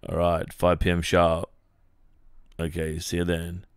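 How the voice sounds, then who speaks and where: A man's voice: low voice, completely flat delivery, a little slow, clean tone, dry recording, clean studio sound. An Australian man playing a friendly, teasing young football captain in a hesitant, casual ASMR boyfriend roleplay. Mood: grateful, winding down. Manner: hesitant, casual, murmuring hums and chuckles.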